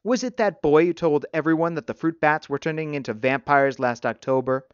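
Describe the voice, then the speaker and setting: A man's voice: a little high, a little fast, clean tone, no echo, dull audio, close to an everyday voice. Man voicing a tender, no-nonsense mother. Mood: firm, reassuring. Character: proud, tender, no-nonsense mother of a young son.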